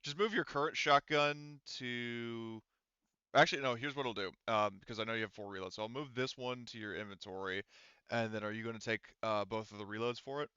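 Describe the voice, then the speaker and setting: Male game master, casual tabletop banter, forceful bright tone on a slightly lo-fi recording. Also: medium pitch, a little fast, smooth, no room sound.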